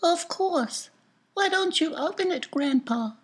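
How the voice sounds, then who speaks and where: Brief: a gentle young boy, high and slow, soft and encouraging. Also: lively intonation.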